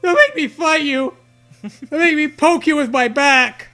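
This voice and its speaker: A man with a high, bright voice riffs casually beside music. His delivery is playful and crude, slipping into silly little acted quips. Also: unhurried. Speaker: co-host of a riffing show. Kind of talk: casual joking banter. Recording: music behind the voice.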